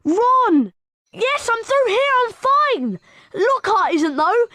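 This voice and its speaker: A woman voicing an excitable, anxious young schoolboy wizard in a video game, speaking in an earnest, exclamatory way. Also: very high voice, slow pace, no room sound, clean studio sound, exaggerated voice.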